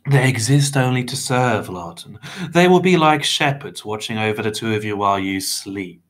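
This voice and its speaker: Man playing a smug little villain, forcefully bright. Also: lowish voice, animated. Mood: mock sweet. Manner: soft-spoken menace, drawn-out mocking politeness. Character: menacing little man, smug, falsely jovial, meddling villain.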